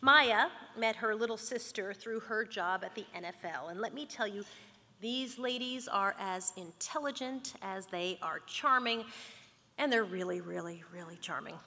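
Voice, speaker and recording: A woman's voice: mid-range voice, moderate pace, sharp tone. A woman presenter giving a polished, upbeat scripted stage introduction. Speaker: event presenter. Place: banquet hall at an awards dinner. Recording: echoey banquet hall.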